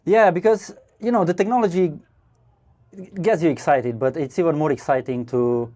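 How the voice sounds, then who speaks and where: A man from Romania with a mellow, darker tone speaks as a podcast guest. He talks casually, stopping and restarting with many fillers and the odd laugh. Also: a little high, normal speed. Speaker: guest, startup co-founder. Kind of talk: casual interview answers. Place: brewery podcast studio.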